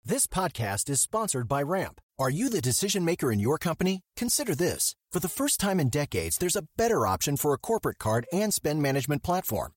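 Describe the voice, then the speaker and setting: A man's voice: fairly high pitch, very animated, fairly quick, few pauses, no room sound, clean studio sound. A man delivering a scripted podcast advertisement as a sponsor read. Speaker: ad reader.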